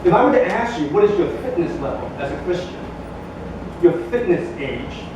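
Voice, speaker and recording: A man's voice: on the high side, lively intonation, moderate pace, lo-fi sound. A male pastor giving a sermon, conversational and joking, building to emphatic bursts. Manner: conversational, jokey preaching with rising emphatic bursts. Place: church sanctuary. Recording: echoey church from a distance with background noise.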